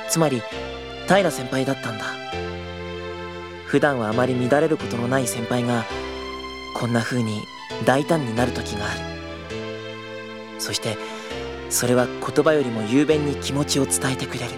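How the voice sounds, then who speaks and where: An actor playing an earnest, easily flustered young junior travel agent in a Japanese audio drama, polite and prone to stammering. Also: male voice, medium pitch, normal speed, pauses often, over loud music, lightly acted. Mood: tender, reflective. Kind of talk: Japanese audio drama acting with intimate narration.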